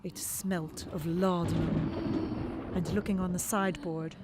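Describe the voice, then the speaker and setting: A woman playing a frightened, despairing young gentlewoman in an audio drama, moving between breathy whispers and tearful cries. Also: fairly low pitch, little pitch movement, takes it slowly, heavy background noise. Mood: hushed dread.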